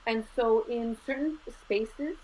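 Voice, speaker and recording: A middle-aged woman from Los Angeles, a school administrator, speaking as an interview guest in an earnest, measured way, dropping in Spanish words. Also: on the high side, mellow. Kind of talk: conversational interview. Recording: slightly dull lo-fi audio with faint noise.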